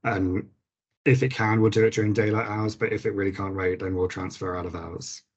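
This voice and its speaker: A man giving an informal clinical teaching session to nurses, his voice slightly croaky, with frequent fillers and restarts. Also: lowish voice, steady tone, a little fast.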